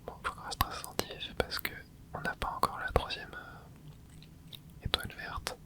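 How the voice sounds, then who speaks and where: A hushed, breathy whisper talks through a video game at an easy pace, tossing in little fillers along the way. The voice stays soft and unvoiced, sitting right up against the microphone. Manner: soft whisper, relaxed running commentary with quick fillers. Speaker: gaming ASMR host. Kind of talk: whispered gameplay commentary. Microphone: close microphone.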